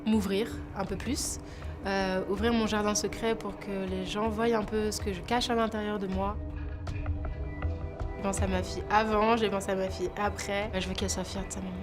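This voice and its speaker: Soft-spoken young woman, reserved. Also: mid-range voice, little pitch movement, normal speed, music in the background. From France. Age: 20s. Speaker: talent show contestant. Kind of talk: interview to camera.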